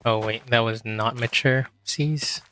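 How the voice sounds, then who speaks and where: A man with a hoarse voice, quietly talking himself through a game as he plays, in a relaxed, slow, muttering way. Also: medium pitch, slight background noise. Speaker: gaming video host. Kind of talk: casual gameplay commentary.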